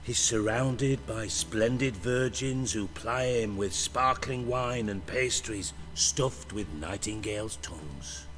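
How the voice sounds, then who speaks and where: An actor playing a foul-mouthed, menacing underworld boss in a video game, sarcastic, wordy and theatrical. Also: male voice, fairly low pitch, a bit bright.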